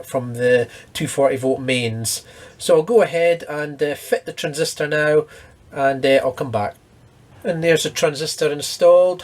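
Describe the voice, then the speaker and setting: A man hosting a casual hands-on repair walkthrough, explaining each step in a matter-of-fact way. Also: on the high side. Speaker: host of an electronics repair channel.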